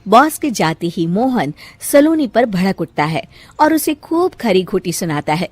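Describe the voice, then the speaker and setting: A woman's voice: mid-range voice, big pitch swings, a little slow, darkish tone, slightly rough, no room sound. A woman narrating a cartoon story from a script.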